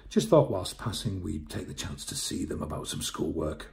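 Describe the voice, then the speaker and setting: A polite, scheming male schoolteacher played by a man reading aloud, calm and determined. His storybook voice is gravelly and reassuring. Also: sits low, moderate pace, heavily acted. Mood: falsely cheerful.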